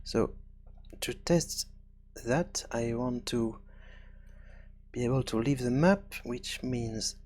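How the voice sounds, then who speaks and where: A man presenting an unscripted programming tutorial, hesitant and halting as he thinks aloud. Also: mid-range voice, a little mellow.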